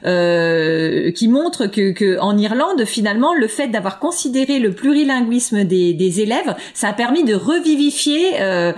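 A woman's voice: a little high, lively intonation, a little slow, hardly any pauses, a little mellow. A woman researcher answering interview questions, hesitant, with many fillers and restarts. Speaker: education researcher.

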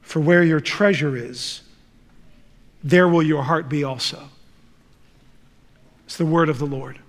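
Slow, measured Dutch-raised preacher. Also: male voice, fairly high pitch. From Netherlands. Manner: slow, deliberate, measured delivery. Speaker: guest preacher. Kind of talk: sermon with scripture reading. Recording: reverberant church hall.

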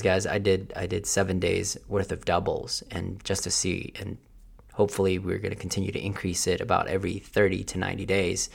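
A man talking earnestly to camera in a motivational fitness vlog, restarting phrases often and slowing down to stress key points. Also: on the low side, vocal fry. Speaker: fitness vlogger.

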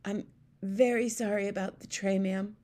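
A woman's voice: medium pitch, steady tone, normal speed, warm tone, natural voice. Woman voicing an anxious, deferential middle-aged royal maid. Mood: shaken. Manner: deferential, flustered, stammering. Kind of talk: storybook character voice.

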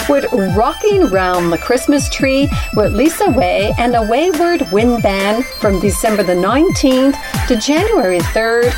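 A woman radio host speaks in a fairly high, very animated voice. She delivers scripted introductions and interview questions, with music playing underneath. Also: a little slow, hardly any pauses.

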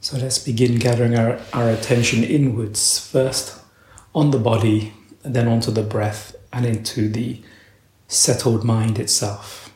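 A man leading a guided meditation as a teacher, speaking in a slow, measured way with long pauses. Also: medium pitch, level tone, darkish tone, reverberant, slight background noise.

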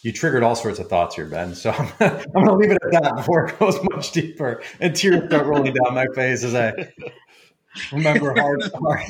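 A man from Iran, a founder, reflects on his past in a halting, thoughtful way. He restarts his sentences often. His voice rings in a roomy, echoey space. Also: medium pitch, lively intonation, a crowd in the background. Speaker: founder, guest. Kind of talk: reflective panel chat.

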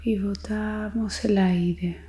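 A woman leading a guided meditation, speaking gently and soothingly in a soft voice with long pauses. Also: medium pitch, steady tone, reverberant, slight background noise. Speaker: psychologist guiding meditation. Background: music.

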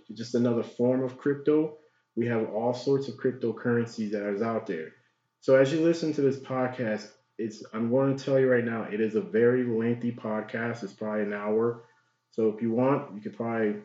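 A man's voice: medium pitch, a little mellow. A man hosting a podcast, opening the episode with earnest, repetitive appeals to listeners. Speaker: podcast host. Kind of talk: podcast intro monologue. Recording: echoey room, dull lo-fi sound.

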